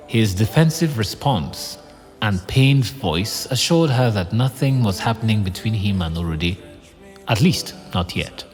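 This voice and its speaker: Low-voiced male narrator in reverb. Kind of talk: scripted story narration. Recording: long hall-like reverb.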